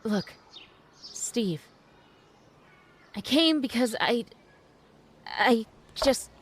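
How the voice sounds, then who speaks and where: An actress playing a gentle, guarded young mother, proper and hesitant, in an old-time radio drama. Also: on the high side, expressive, very slow, background noise. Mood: defensive.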